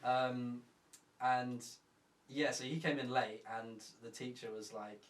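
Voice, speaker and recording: A man's voice: medium pitch, steady tone, takes it slowly, distant mic, a crowd in the background. Young man, chatty, self-mocking, echoey room, off-mic. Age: young adult. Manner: chatty, self-mocking, rambling with many fillers. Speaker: podcast co-host. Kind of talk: casual chat. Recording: roomy, from off-mic.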